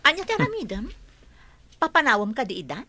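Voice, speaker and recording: A woman's voice: on the high side, huge pitch swings, quick pace, bright, forceful tone, full character voice. An actress playing a schemer's uneasy, weary female partner in a radio drama, speaking fretfully. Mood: anxious, weary.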